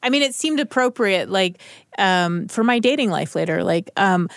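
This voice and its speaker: A woman's voice: medium pitch, expressive, normal speed, no room sound, clean studio sound. A woman talking casually and candidly as a panelist on a radio show. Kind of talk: casual round-table chat.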